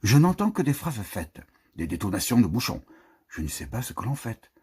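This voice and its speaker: A man reading poetry aloud in a theatrical, expressive way, declaiming with dramatic swells and hushed, breathy phrase endings. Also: fairly low pitch, moderate pace. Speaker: poetry reader.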